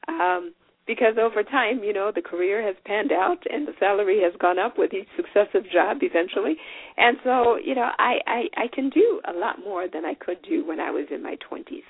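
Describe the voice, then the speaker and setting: A woman's voice: medium pitch, lively intonation, fairly quick, husky, no echo. A woman in her forties hosting a solo podcast, talking in a chatty, folksy, encouraging way. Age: 40s. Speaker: podcast host. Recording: tinny phone-like sound.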